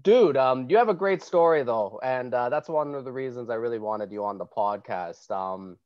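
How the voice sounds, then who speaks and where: Male podcast host, relaxed and jokey, muffled video-call audio. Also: medium pitch, moderate pace. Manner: laid-back, laughs often, swears casually. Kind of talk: casual podcast chat. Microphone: earphones and handheld mic on video call.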